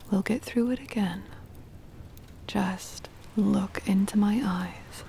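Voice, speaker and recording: Woman playing a very slow, whispery vampire mistress. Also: mid-range voice, echoey room, a little hiss or hum. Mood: soothing, commanding. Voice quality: soft, breathy, whispery. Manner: slow, soothing, hypnotic, controlling. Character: possessive, doting vampire mistress, soft yandere, commanding. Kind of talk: ASMR roleplay, intimate whispering and murmuring.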